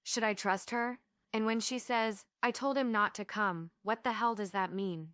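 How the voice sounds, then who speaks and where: Woman playing a bright, sarcastic heroine. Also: a little high, steady tone, normal speed, smooth, dry recording, clean studio sound, natural voice. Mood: exasperated. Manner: sarcastic, dramatic. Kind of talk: stage script table read.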